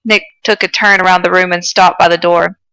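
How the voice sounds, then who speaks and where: Casting: female podcast reader, bright, crisp-edged tone, casual storytelling, dry close sound. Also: lowish voice, little pitch movement, a little fast, no echo, low-quality recording. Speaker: podcast co-host. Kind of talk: fan fiction reading and casual banter.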